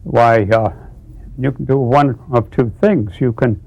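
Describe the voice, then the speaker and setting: An eighty-one-year-old man, an author, speaking reflectively in an interview, haltingly, phrase by phrase. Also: medium pitch, fairly even intonation, gravelly, darkish tone, no room sound, highs cut off.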